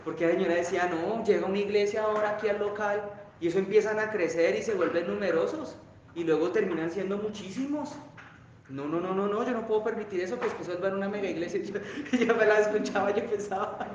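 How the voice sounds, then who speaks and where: A man's voice: sits high, quick pace, dull audio, slight background noise. A man preaching a sermon, then leading the church in emphatic, fervent prayer. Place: church hall. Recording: very echoey church hall with guitar.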